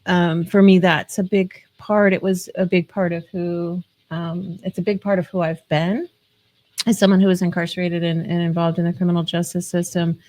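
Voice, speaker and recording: A woman guest on a talk show sharing her own story earnestly, pausing often on "um". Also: fairly low pitch, level tone, a little mellow. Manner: earnest, with "um" pauses. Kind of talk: personal sharing.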